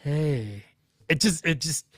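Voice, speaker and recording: A man's voice: fairly high pitch, expressive, slow pace, fairly bright tone, no echo, studio quality. A man chatting casually as a podcast co-host.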